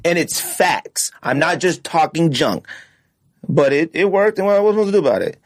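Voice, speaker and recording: A man's voice: on the high side, lively intonation, moderate pace, no room sound, clean studio sound. A man hosting a podcast in casual, opinionated chat, talking bluntly in slang and repeating phrases for emphasis. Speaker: podcast host.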